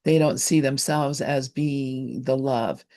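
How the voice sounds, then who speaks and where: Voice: mid-range voice, fairly even intonation, slow, warm tone, no echo, studio quality. A host of a study gathering, talking casually with frequent laughs and stumbles over words. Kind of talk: casual group discussion.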